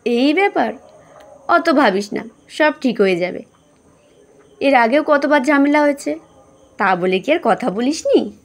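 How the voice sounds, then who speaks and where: A woman voicing a patient middle-aged mother in an audio story, soothing and gently chiding. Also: sits high, animated, measured pace, pauses often. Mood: gentle, reassuring. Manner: soothing, chiding, homespun advice.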